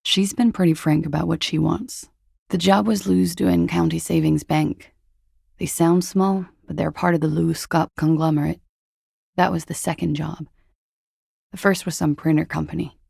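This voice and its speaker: An actress playing a weary, guarded young female corporate spy in a video game, with a smoky, deadpan, confessional delivery. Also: deep voice, moderate pace, vocal fry, breathy edge, no room sound, clean studio sound, full character voice. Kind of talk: video game character dialogue.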